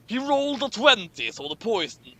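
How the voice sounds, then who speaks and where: Male comedy reader, bright forceful voice, swinging intonation, mocking asides, stumbling and repeating lines. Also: extremely high pitch, big pitch swings, normal speed. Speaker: host of a comic fan fiction reading. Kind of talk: dramatic reading with mocking commentary.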